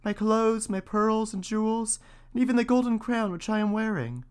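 A man voicing a spoiled young princess in a folktale reading, pitching his voice up into a high, pleading tone. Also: moderate pace, clear, resonant tone, natural voice. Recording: faint guitar music behind.

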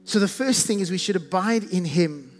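South African preacher, very high male voice, emphatic and repetitive, leans hard on key words; long hall reverb with faint music underneath. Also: animated. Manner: emphatic, repeats strings of synonyms, stretches key phrases slowly. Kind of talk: sermon.

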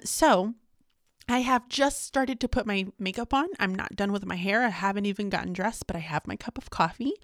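A woman speaks casually to her vlog audience in a medium-pitched voice. Her manner is chatty and candid, readily self-deprecating, as if confiding in friends. The sound is dry, with very little room echo. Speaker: teacher and vlogger.

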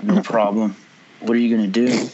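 A man's voice: mid-range voice, big pitch swings, normal speed, dark tone, slight background noise, natural voice. A man reading the part of a flippant young artist in an amateur horror screenplay table read, casual and sardonic. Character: Mark, flippant young comic-artist guy in horror story. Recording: dull, lo-fi internet call.

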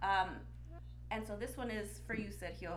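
A congested woman co-hosting a podcast in casual chat, blunt and quick to swear and laugh. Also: mid-range voice, low-quality recording. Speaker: podcast co-host.

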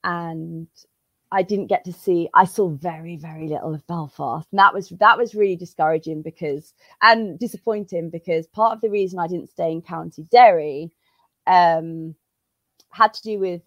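Hoarse woman, low-pitched. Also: normal speed, dry recording. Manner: chatty, many fillers and restarts. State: slightly hoarse from a cold. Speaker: jiu-jitsu competitor, guest. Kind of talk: casual interview storytelling. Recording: dull, dry lo-fi sound.

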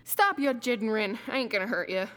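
A woman voicing the ghost of an executed male killer in an old-time radio drama, speaking slowly in a teasing, menacing way. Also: on the high side, a bit bright, close to an everyday voice. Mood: taunting.

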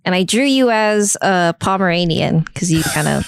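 A woman's voice: lowish voice, animated, normal speed, clear, resonant tone, no echo. A woman playing a forgetful, eager-to-please young furry artist in a comedy sketch, with a sheepish, hesitant delivery. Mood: eager, shy.

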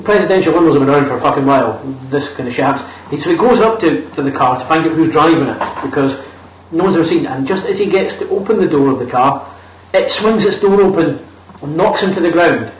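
A man's voice: a little high, lively intonation, fast, a little hiss or hum. A young man co-hosting a podcast, bluntly and mockingly recapping a film's plot with plenty of swearing. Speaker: podcast co-host. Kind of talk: casual film review chat. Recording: roomy, distant mic.

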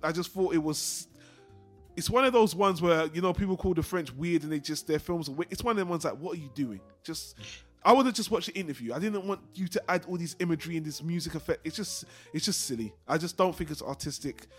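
A man's voice: a little high, fast talker, soft background music. A man hosting a casual film discussion podcast, talking in a rambling, digressive and opinionated way. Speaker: podcast host.

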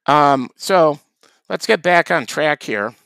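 A man co-hosting a podcast, delivering deadpan dry jokes in casual comedic chat. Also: a little high, slow pace, creaky, dry recording. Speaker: podcast co-host.

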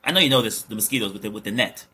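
A man's voice: on the low side, rapid-fire, bright, forceful tone, breathy edge. A man from Guyana hosting a casual chat, teasing and rambling.

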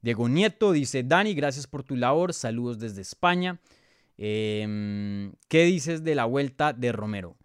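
Male MMA analyst, Bogotá accent, medium pitch, crisp tone, polite and conversational live-show delivery, thinking aloud. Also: lively intonation, unhurried, no room sound, studio quality. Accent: Colombian, Bogotá. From Bogotá, Colombia. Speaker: podcast host and MMA analyst. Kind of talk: casual live sports preview, answering viewer questions.

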